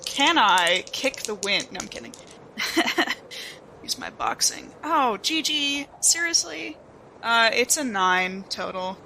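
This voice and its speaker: A woman's voice: on the high side, sing-song, takes it slowly, vocal fry, pushed and bright, a little hiss or hum. A woman playing a tabletop roleplaying game, chatting casually in a playful, joking way. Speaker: player.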